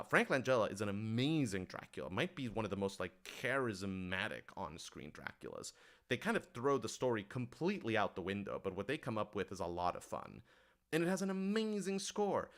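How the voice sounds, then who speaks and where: Smooth, low-voiced man chatting enthusiastically. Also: fairly bright tone. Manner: enthusiastic, emphatic; halting, thinking aloud, frequent "like" and "kind of". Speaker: writer-director answering audience questions. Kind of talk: casual livestream Q&A chat.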